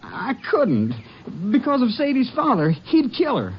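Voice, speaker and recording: An actor in a 1950s radio drama playing a frightened teenage farm boy with an anxious, breathy voice. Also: very high voice, wildly swooping pitch, measured pace, a little hiss or hum, exaggerated voice. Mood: worried. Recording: dull lo-fi old radio recording.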